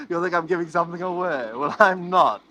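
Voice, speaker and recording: A man's voice: a little high, measured pace, sharp tone. An actor playing a sly, genteel man who chattily and smugly confides a secret, in 1940s radio thriller style. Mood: gleeful, confiding. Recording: noisy old 1940s radio recording.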